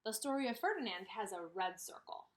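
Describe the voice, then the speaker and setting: A woman leads a read-aloud lesson for small children. Her pitch moves around in a lively way at an ordinary female height. She uses simple, repeated phrases and questions that leave room for answers. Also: brisk. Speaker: kindergarten teacher.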